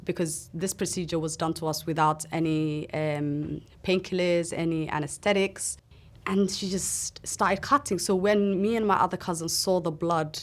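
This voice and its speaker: Low-voiced woman recounting her childhood. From Somalia. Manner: earnest, halting recollection. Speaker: interview guest. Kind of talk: personal testimony in a sit-down interview.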